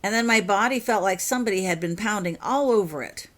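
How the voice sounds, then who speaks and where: A woman's voice: mid-range voice, fast, pitched above the performer's own voice, lightly acted. A woman voicing an earnest, hesitant young schoolgirl witch in a storybook reading.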